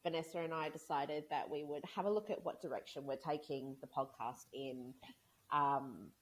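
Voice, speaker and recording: A woman's voice: low-pitched, normal speed, rough recording. A woman in her thirties co-hosting a podcast, chatting casually, rambling and laughing often. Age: 30s. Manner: giggly, rambling. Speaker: podcast co-host. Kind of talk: casual chat.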